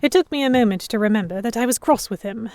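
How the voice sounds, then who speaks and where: A woman giving expressive first-person narration in the style of a dramatic audiobook. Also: a little high, fast.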